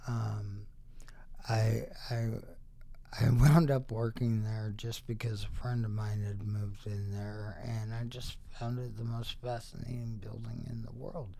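A middle-aged or older man reminiscing casually as an interview guest, halting and wryly dry in his phrasing. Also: lowish voice, flat delivery, creaky, mellow.

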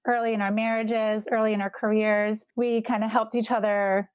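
Woman host, 40s; sincere, measured intro voice, dry close recording. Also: mid-range voice, level tone, clean tone, no room sound. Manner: warm, heartfelt, slow and deliberate. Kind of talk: interview hosting.

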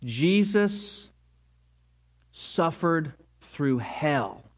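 A man's voice: fairly high pitch, lively intonation, very slow. A man preaching a sermon to his congregation, earnest and rising to emphatic peaks. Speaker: pastor.

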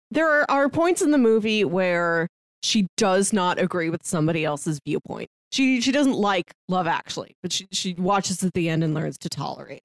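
A woman's voice: lowish voice, lively intonation, normal speed, no echo. A woman co-hosting a podcast, casually recapping films and acting out lines of dialogue as she retells them. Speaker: podcast co-host. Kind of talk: casual film discussion, recapping scenes.

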